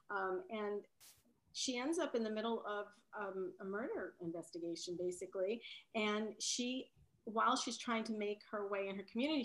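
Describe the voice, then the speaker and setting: A woman's voice: mid-range voice, a bit bright, rough recording. Woman novelist, italian first language, chatting in an interview, kinda hesitant, keeps rephrasing stuff. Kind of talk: casual conversational interview.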